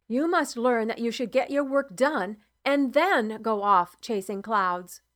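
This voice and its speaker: A loud, anxious father with a fairly high voice, put on by a woman reading a story. He is stout and red-faced, and he pleads and scolds in a stern, strained tone. The storybook telling keeps him close to the reader's own voice. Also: measured pace. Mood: scolding.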